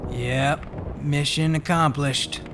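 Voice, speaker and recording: A man's voice: fairly high pitch, steady tone, slow. A man acting as a laid-back frontline soldier in a video game, with a casual, teasing, sardonic manner. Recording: music behind the voice.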